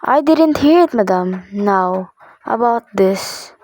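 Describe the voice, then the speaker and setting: A woman's voice: medium pitch, animated, darkish tone, no room sound. A young woman acting a polite, formal, unhelpful hospital receptionist in an amateur radio play. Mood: indifferent, polite. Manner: measured, officious, courteous.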